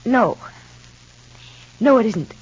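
A woman's voice: on the high side, animated, takes it slowly, slightly rough, exaggerated voice. An actress playing a frank, strained married woman confronting her sister, with an American accent, in vintage radio soap style. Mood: weary, earnest. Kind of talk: 1950s radio soap opera acting. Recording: muffled old radio broadcast recording.

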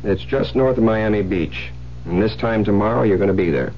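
An American actor playing a suspicious, domineering psychiatrist husband, insistent and pressing, in 1970s radio drama style. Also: male voice, on the low side, fairly quick, close to an everyday voice. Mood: persuasive. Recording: muffled, hissy old radio broadcast with hum.

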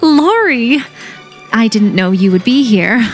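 A woman's voice: fairly high pitch, sing-song, slow. A young woman playing a genteel, earnest teenage girl dressed up for a party in an audio play. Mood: playful indignation. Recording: music playing behind the voice.

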